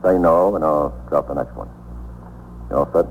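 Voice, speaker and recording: An actor playing an unhurried, wry police detective in a 1950s radio drama, explaining things in a dry, instructive way. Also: male voice, a bass voice, phone-quality sound. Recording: noisy, phone-thin 1950s radio recording.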